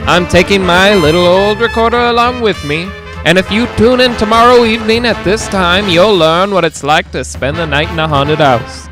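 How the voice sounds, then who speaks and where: A man's voice: high-pitched, normal speed, few pauses, music in the background, natural voice. A man playing a chummy, showy 1940s radio disc jockey with teasing, chatty patter in an audio drama. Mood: chummy, excited.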